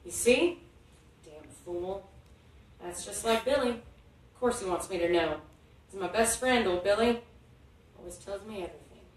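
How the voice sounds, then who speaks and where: A man's voice: high-pitched, lively intonation, a little slow, long pauses. A woman voicing a guarded, loyal rural man with folksy, ungrammatical speech in a story read aloud. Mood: resigned, grieving. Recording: echoey hall, distant, faint noise.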